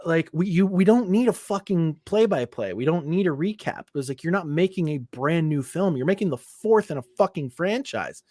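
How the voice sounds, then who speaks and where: A man's voice: on the high side, animated, normal speed, hardly any pauses, a little mellow, no echo. Profane ranting man, dry sound. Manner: profane, ranting, lots of fillers. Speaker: podcast co-host. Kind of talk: casual chat.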